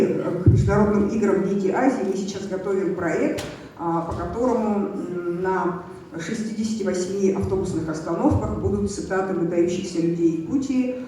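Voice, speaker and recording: A woman in her fifties from Yakutia giving an earnest, halting speech at a public forum, with many fillers. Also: mid-range voice, warm tone. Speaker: head of a language movement. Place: large conference hall. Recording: echoey hall, far from the mic, faint background hiss.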